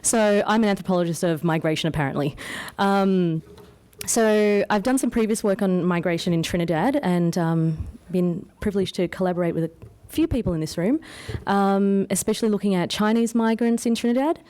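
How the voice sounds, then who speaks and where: A woman's voice: mid-range voice, a little fast, a little mellow. A woman with an Australian accent giving an informal conference talk as an academic, conversational and modest about herself. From Australia, born in England. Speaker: academic presenter, anthropologist. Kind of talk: conference paper presentation, informal.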